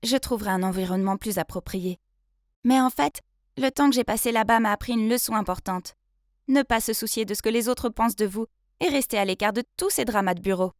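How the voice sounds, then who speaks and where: A woman voicing an earnest young female office intern who tells her love story in an expressive, confiding way. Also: fairly high pitch, fairly quick, no echo, clean studio sound, lightly acted. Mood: weary, resolved. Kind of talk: dramatised first-person story narration.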